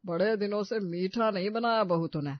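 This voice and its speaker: A woman's voice: mid-range voice, moderate pace, no room sound, muffled recording, close to an everyday voice. A woman voicing an elderly father-in-law in a moral story, a folksy, musing head of the household. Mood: genial.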